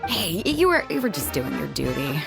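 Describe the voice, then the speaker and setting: Breezy female sea captain, lowish voice, very animated, casual, self-assured, video game dialogue over music. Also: normal speed, creaky, heavily acted. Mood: easygoing, rueful. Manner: casual, easygoing, colloquial. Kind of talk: video game cutscene acting. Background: background music.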